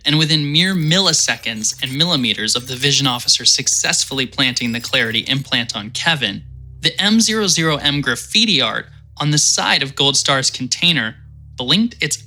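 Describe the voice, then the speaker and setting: A man narrating a sci-fi audio drama, telling the story vividly with wry comic asides. His voice is very bright and forceful and sits a little higher than most men's. Faint music plays under the narration. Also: lively intonation, moderate pace.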